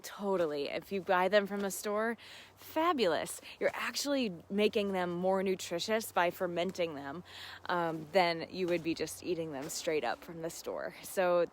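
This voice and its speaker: A woman's voice: fairly low pitch, a little slow. Effusive, affirming woman in casual chat. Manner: effusive, affirming, giggly, conversational. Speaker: gardening host answering live viewer questions.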